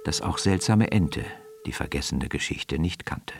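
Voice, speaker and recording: Deep, expressive male storyteller. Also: measured pace, creaky. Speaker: narrator. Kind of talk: scripted children's story narration. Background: music.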